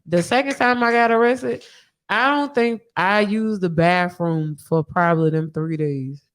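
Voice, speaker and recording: A woman telling a personal story in casual, animated podcast style, with candid slang and frequent swearing. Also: on the low side, unhurried.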